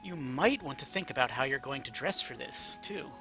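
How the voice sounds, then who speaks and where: A man's voice: medium pitch, animated, moderate pace, sharp tone, soft background music. A man reading a second-person story aloud as an amateur narrator.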